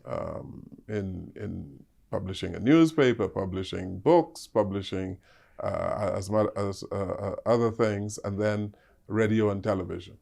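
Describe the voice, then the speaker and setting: An older Bahamian man speaks slowly, with a gravelly edge and lowish pitch. He answers interview questions in a hesitant way, full of ums and uhs. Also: a little mellow. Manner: hesitant, many fillers. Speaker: ambassador guest.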